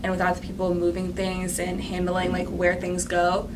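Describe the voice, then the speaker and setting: A teenage drama crew member giving earnest, rambling interview answers. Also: female voice, fairly low pitch, monotone, normal speed. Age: teens. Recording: distant mic, noisy.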